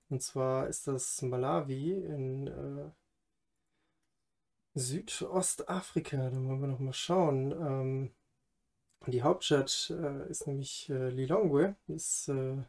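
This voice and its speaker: A man hosts a conversational podcast. His voice sits at an ordinary pitch, and he feels his way through sentences in a halting manner. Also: a little mellow. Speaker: podcast host. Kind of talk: hosting a conversational podcast, introducing guests.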